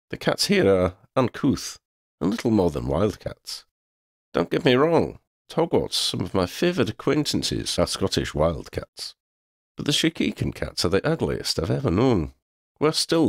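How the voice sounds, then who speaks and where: A man's voice: lowish voice, vocal fry, dry recording, studio quality, pitched above the performer's own voice, heavily acted. A man voicing a proud, lordly talking male cat in a storybook, with pompous, formal wording. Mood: disdainful. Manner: pompous, formal wording with Scottish turns of phrase.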